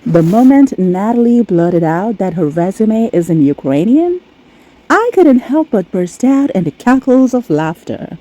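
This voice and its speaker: A woman's voice: mid-range voice, very animated, unhurried, warm tone, rough recording. A woman delivering scripted commentary on reality TV in a theatrical, sardonic manner. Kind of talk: scripted narration.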